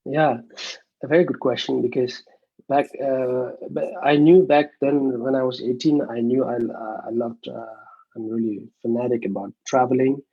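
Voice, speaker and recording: Halting young man. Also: medium pitch, steady tone, breathy edge, lo-fi sound. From Nepal. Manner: halting, many fillers and restarts. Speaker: interview guest. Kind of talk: casual interview over a video call.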